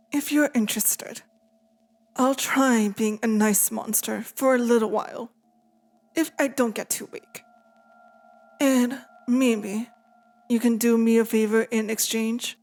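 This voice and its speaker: A lonely, possessive female demon played by a woman murmurs in an intimate ASMR roleplay. Her voice sits fairly high and moves very slowly, tender and hesitant, with a pleading, wistful temper. Faint music plays behind her. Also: darkish tone.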